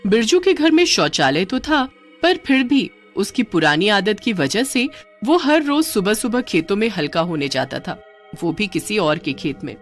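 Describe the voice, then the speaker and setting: A woman's voice: medium pitch, big pitch swings, normal speed, faint music behind. A woman narrating a scripted story as a storyteller.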